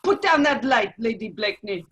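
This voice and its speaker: A woman's voice: a little high, lively intonation, a little fast, fairly bright tone. A woman playing a cold, menacing male French revolutionary agent in an amateur radio play, clipped and coolly formal. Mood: coolly smug. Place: remote virtual table read.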